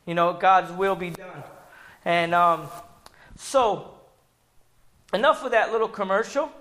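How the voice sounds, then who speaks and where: A man in his forties with a loud voice, speaking earnestly at a church gathering and filling pauses with ums. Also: sits high, a little hiss or hum, poor audio. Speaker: ministry public relations speaker. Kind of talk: testimony sermon.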